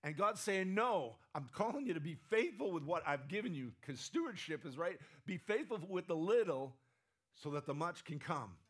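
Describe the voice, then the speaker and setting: A man's voice: a little high, animated, normal speed. A man preaching a conversational sermon, often asking the room "right?", his voice strained and sometimes cracking. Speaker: pastor.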